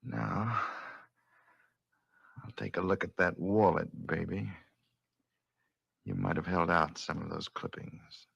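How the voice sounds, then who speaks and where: Gravelly, slow, creepy strangler. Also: male voice, low-pitched, fairly bright tone, no room sound, highs cut off, heavily acted. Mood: coolly menacing. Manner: slow, sinister. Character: creepy male strangler coolly menacing his victim. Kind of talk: 1940s radio suspense acting. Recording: old 1940s radio recording.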